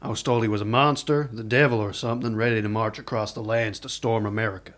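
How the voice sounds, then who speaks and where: A man's voice: lowish voice, fairly even intonation, fast, a bit bright, close to an everyday voice. Man playing a wary, sceptical bounty hunter. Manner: wary, plain questioning. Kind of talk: radio drama acting.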